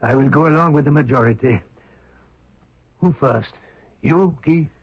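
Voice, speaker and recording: An actor playing an impatient, authoritative French Monsignor in a radio drama, with a brisk manner. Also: male voice, a little high, breathy edge. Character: commanding, impatient French Monsignor leading the resistance. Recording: muffled old radio broadcast.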